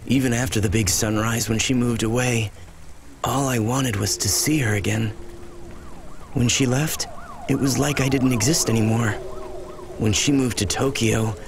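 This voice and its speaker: A man's voice: medium pitch, noisy background, natural voice. A man playing a confused, lovelorn, childlike old soul in a video game, speaking earnestly and naively. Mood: sorrowful. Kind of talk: video game dialogue acting.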